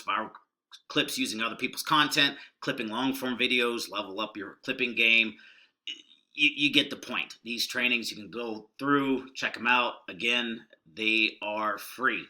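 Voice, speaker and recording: A man's voice: medium pitch, pushed and bright. A middle-aged man casually walking through online marketing trainings, keeping his voice down and rambling in a folksy way. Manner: rambling, folksy and deliberately subdued. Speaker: online marketing video host. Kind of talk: casual screen-share walkthrough.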